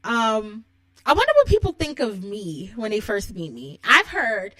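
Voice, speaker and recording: A bubbly woman with a New York accent and a childlike, high-pitched voice, talking casually on her own and giggling often. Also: very animated, unhurried, bright, forceful tone, no room sound, low-quality recording. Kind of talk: casual solo monologue.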